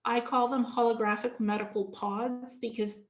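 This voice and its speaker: A fairly high-pitched woman from Ukraine talks as an interview guest, explaining things earnestly and often restarting mid-sentence. Her voice carries a noticeable echo, as if recorded in a bare room. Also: level tone, moderate pace. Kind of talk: casual interview talk.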